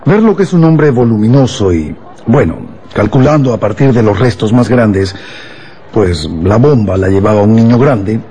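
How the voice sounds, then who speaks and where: An actor playing a courteous police inspector in a radio drama, probing politely and a little hesitantly, over music. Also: male voice, lowish voice, lively intonation, moderate pace, mellow, lightly acted. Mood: matter-of-fact. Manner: polite, hesitant, circling his questions.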